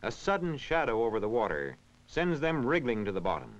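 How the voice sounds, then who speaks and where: A man reading scripted educational narration in a measured, instructional, clearly enunciated way. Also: mid-range voice, very animated. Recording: dull, band-limited vintage film soundtrack.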